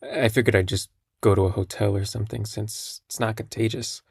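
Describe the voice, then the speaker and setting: A man playing a terminally ill young patient in an intimate audio drama, speaking in a husky, creaky voice with a halting, understated manner. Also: lowish voice, steady tone, no room sound, clean studio sound. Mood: gentle, wry. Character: young terminally ill male hospital patient, numb, gently self-deprecating.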